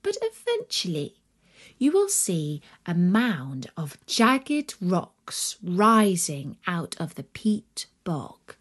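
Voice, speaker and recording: A woman narrating a children's story from a script, expressive and dramatic, dropping into breathy emphasis on some words. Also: on the low side, takes it slowly.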